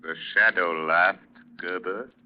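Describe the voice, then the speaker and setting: An actor playing an invisible, menacing avenger who taunts criminals in an ominous, deliberate old radio drama manner. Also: male voice, low voice, fairly even intonation. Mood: menacing, mocking. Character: invisible avenging crime fighter, menacing and mocking. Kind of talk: 1940s radio acting. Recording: dull, hissy old radio recording.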